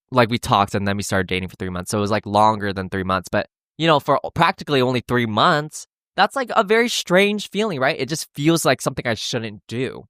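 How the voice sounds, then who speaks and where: A young man talks casually as a podcast host, wandering through his thoughts with frequent restarts. His voice sits at an ordinary middle pitch. The sound is dry and close, with no room echo. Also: fairly bright tone. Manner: rambling and candid, heavy use of "like", with frequent restarts. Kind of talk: casual chat about dating.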